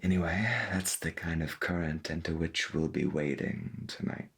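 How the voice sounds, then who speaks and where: A man playing a folksy, gentle veteran pirate radio host, speaking softly and slowly with a breathy voice in an ASMR roleplay. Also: very deep voice, fairly even intonation, heavily acted. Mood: calm, intimate. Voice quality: breathy, husky. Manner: slow, deliberate, folksy, half-whispered. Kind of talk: soft-spoken ASMR roleplay as 1990s AM radio.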